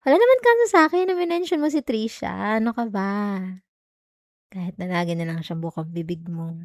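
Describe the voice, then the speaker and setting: A woman's voice: medium pitch, lively intonation, moderate pace, no room sound, studio quality, close to an everyday voice. A woman with a Filipino accent playing a jealous, hurt young girlfriend in an emotional, sarcastic audio roleplay. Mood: denying, sulky. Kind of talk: Tagalog ASMR girlfriend roleplay, acted monologue.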